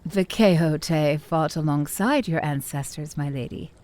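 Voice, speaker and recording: A mysterious wise woman, played by an actress, speaks in a low, mellow voice. She is solemn and deferential, like a keeper of ancient lore in a fantasy audio drama. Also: unhurried. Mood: solemn, knowing.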